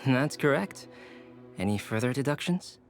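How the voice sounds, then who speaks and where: A man playing a courteous, composed food lover in a video game, speaking in a polite, measured, articulate way. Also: mid-range voice, animated, faint music behind, lightly acted. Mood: pleasant, composed.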